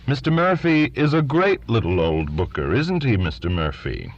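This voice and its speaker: A man voicing a drunk, mocking middle-aged male writer who taunts loudly. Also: rumbling low, big pitch swings, slow pace, gravelly, slightly rough, muffled recording, pitched below the performer's own voice, full character voice. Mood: sneering drunk. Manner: loud, sneering, slurred taunting.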